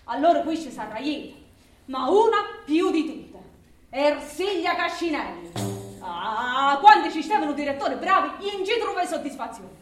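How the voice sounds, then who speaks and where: A woman's voice: high voice, very animated, normal speed, faint music behind. A woman playing a proud, feisty working woman with an Abruzzese accent, telling her story in a colloquial theatrical monologue. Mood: narrating. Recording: roomy, distant microphone.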